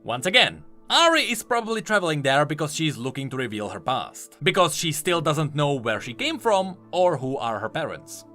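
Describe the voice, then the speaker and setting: A man's voice: on the high side, big pitch swings, normal speed, few pauses, sharp tone, soft background music. A man giving enthusiastic, explanatory commentary on video game lore, leaning hard on key words for emphasis.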